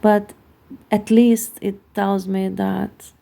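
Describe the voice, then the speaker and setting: A woman with a Hungarian accent talks through a card reading at an unhurried pace. Her voice is very gravelly with a lot of vocal fry, and its tone is dark and mellow. She pauses often to search for the next word. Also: medium pitch, flat delivery. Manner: halting, searching for words. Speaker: tarot card reader.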